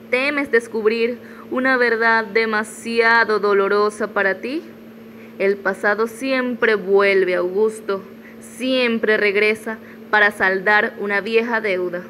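A woman's voice: a little high, fairly even intonation, slow, fairly bright tone, a little hiss or hum, low-quality recording. A woman playing a defiant, scheming widow in a radio soap opera, taunting and dramatic.

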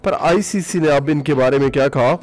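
A man's voice: a little high, normal speed. A man talking through a cricket match in a casual voice-over. He uses many filler sounds and drops in English phrases. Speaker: cricket commentary vlogger. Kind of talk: solo cricket commentary over music. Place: voice-over, not recorded at the ground.

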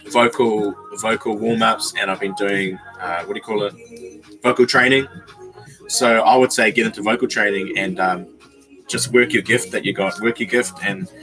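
A young man in a small bedroom, casually chatting with his viewers on a livestream and reading out their comments, slangy and relaxed, calling people bro and man. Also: fairly low pitch, poor audio. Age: young adult. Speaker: YouTuber reading live chat.

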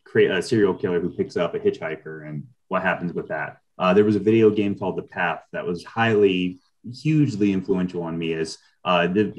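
A middle-aged man with a low voice answers as a guest writer in an interview over a video call. He is reflective and explanatory, letting his sentences wander as he thinks things through. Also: a little mellow, poor audio.

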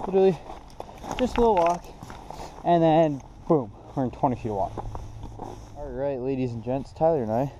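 A man's voice: medium pitch, normal speed, long pauses, mellow, slight background noise. A young man, casually narrating an ice fishing vlog outdoors on a frozen lake, chuckling often. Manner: laid-back, chuckling often. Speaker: fishing vlogger. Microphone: action camera. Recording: muffled outdoor camera audio.

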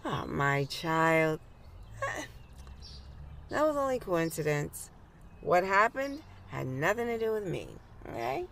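A woman as a theatrical, creaky-voiced fortune teller. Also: lowish voice, sing-song, a bit bright, slight background noise, full character voice. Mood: flustered. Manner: drawn-out, theatrical delivery. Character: theatrical fortune teller woman, phony, menacing then flustered. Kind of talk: radio horror drama acting.

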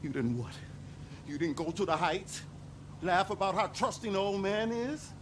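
An actor playing a middle-aged American garage boss, wounded and suspicious, with an emphatic, accusing way of talking. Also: male voice, sits high, moderate pace, lightly acted. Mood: hurt, accusing. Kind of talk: video game drama acting. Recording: noisy street ambience with vehicles.

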